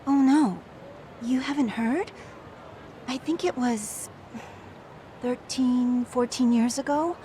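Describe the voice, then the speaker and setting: An actress playing a gentle woman who recalls an old friend's past in a conversational, wistful way for a video game cutscene. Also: high voice, slow, pauses often, a little hiss or hum. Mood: somber, sympathetic. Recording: studio voice with music behind.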